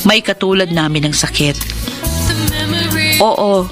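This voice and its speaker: An actress playing a remorseful, devout widow in a radio drama, with a Filipino accent and an earnest, emotional delivery. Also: female voice, lowish voice, slow pace. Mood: reflective. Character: remorseful, devout widow, forgiving, seeking a fresh start. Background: music behind the voice.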